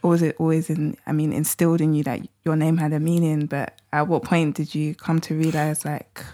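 A woman's voice: low-pitched, flat delivery, brisk, mellow. A woman hosting a podcast, asking her guest questions in a friendly, curious way. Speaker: podcast host.

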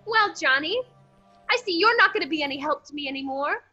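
A woman's voice: high voice, lively intonation, a little fast, sharp tone, highs cut off, faint music behind, heavily acted. An actress playing a ditzy, eager and brazen young woman sleuth in a comic old-time radio play, breezy and chatty. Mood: flustered, oblivious. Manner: breezy, chatty, blithely unconcerned.

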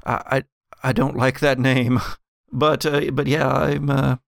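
A man plays a reluctant young man with a military air in a tabletop game. The voice is mid-pitched with a creaky grain, halting and grudging, recorded dry and close. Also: level tone, no echo.

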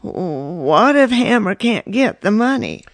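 A man's voice: high voice, sing-song, takes it slowly, dry recording, voice pushed up, full character voice. A man voicing worried, pleading farm women in an audiobook, anxious and insistent.

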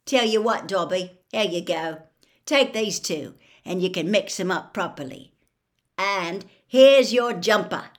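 A woman's voice: mid-range voice, unhurried, close to an everyday voice. A woman voicing an easygoing, generous teenage schoolboy wizard in a storybook, with a casual, chummy way of talking.

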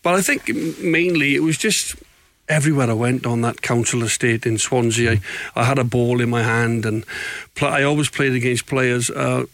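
A middle-aged Welsh man, a former footballer, telling stories in relaxed radio chat. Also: mid-range voice, normal speed, no room sound. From Wales. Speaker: football pundit, former player.

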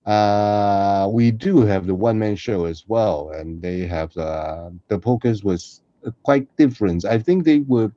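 Male co-host with a Hong Kong accent, dark mellow tone, casual chat, dry and dull remote sound. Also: fairly low pitch, measured pace, no room sound. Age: middle-aged. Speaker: podcast co-host.

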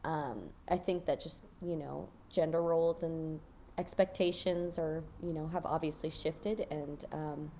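A woman's voice: sits low, steady tone, unhurried, dark tone, slight background noise. A woman co-hosting a podcast, chatting informally about TV, feeling her way through thoughts and prone to giggling. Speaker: podcast co-host. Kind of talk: casual chat about a TV show.

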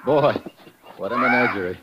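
An actor playing a tough, breezy American correspondent in an old radio adventure, with a wry, clipped manner. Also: male voice, mid-range voice, normal speed, close to an everyday voice. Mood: brisk, wry. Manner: wry, clipped, hard-boiled. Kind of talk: 1950s radio adventure acting. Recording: noisy, muffled old radio recording.

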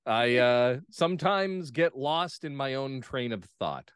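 A man talking casually and reflectively as an interview guest, phrasing his thoughts in measured pieces. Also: medium pitch, expressive, smooth, no echo, studio quality. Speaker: guest playwright and actor. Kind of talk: casual discussion.

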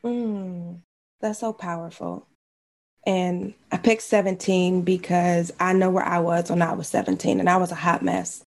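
A woman's voice: lowish voice, little pitch movement, unhurried, creaky, darkish tone. Effusive woman podcast host. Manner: effusive, affirming, laughs often. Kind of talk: casual interview chat. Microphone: podcast mic.

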